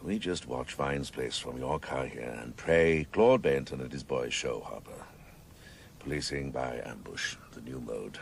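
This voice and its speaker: An actor playing an obsessive, theatrical senior policeman in a radio drama, gravelly and sardonic, savouring his grandiose words. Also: very deep voice, a little slow, a little hiss or hum, exaggerated voice. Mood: grim, insistent.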